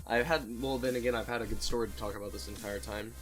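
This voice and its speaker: A man's voice: on the low side, fairly even intonation, fast, rough recording. A man in his late teens telling personal stories in a casual, rambling, candid and self-deprecating way, swearing freely like a YouTuber on a solo talk show. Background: background music.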